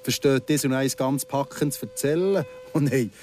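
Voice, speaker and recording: A man's voice: mid-range voice, normal speed, a little mellow, slight background noise, lightly acted. An actor playing an insinuating paroled convict in his thirties in a radio drama, speaking Swiss German with a probing, mock-understanding manner. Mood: insinuating, narrating. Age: 30s. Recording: music behind the voice.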